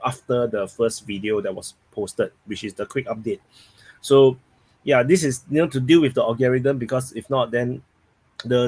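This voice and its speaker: A man's voice: medium pitch, a little mellow, dry recording, poor audio. A man with a Singaporean accent hosting a live stream, rambling and thinking aloud while he reads viewers' chat.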